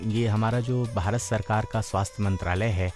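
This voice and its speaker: A man's voice: on the low side, steady tone, normal speed, music in the background. A man contributing to a radio show as an awareness worker, explaining in formal language.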